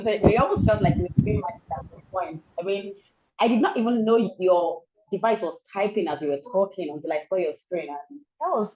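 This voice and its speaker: A woman with a Nigerian accent moderating a webinar, brisk and courteous. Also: medium pitch. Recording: dull lo-fi audio.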